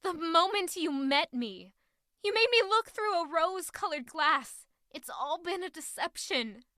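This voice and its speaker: A woman's voice: extremely high pitch, lively intonation, measured pace, pushed and bright, no room sound, studio quality, heavily acted. A woman acting a hurt, stubborn young woman in an audio drama, with an intense, emotional delivery. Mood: angry, accusing.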